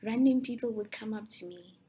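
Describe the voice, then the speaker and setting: A young woman from South Africa whose first language is Afrikaans, answering interview questions in a thoughtful, soft and breathy way with frequent restarts. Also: a little high, brisk, slight background noise.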